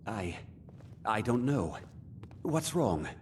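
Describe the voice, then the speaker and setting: A man's voice: lowish voice, very animated, extremely slow, slight background noise, exaggerated voice. Swooping, fussy fantasy tradesman. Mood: panicked, pleading. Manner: obsequious, fretful. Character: fussy male meadery owner, servile to his betters. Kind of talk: fantasy video game acting.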